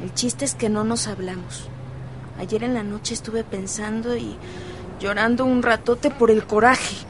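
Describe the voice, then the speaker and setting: A woman's voice: a little high, fairly even intonation, measured pace, a little hiss or hum, lightly acted, poor audio. An actress playing a dramatic, defiant teenage girl with a Mexican accent, complaining emphatically in a radio soap. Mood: indignant. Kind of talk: radio soap opera acting.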